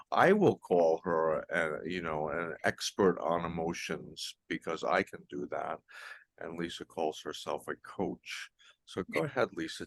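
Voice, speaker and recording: Deep-voiced halting male host. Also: vocal fry, dry recording. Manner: halting, many "uh" fillers, jokey. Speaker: podcast host. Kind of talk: casual interview hosting.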